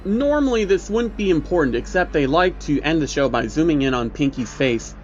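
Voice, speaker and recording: A man's voice: on the high side, lively intonation, fairly quick, a little mellow. A man narrating a scripted story in a casual, sardonic reviewer's manner. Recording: dull lo-fi recording with faint noise.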